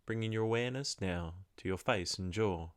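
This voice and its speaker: A man slowly guiding a body scan meditation in a soft, calm voice. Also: lowish voice, clean tone, no room sound, studio quality. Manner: slow, soft, calm instructions. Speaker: meditation guide. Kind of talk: guided meditation.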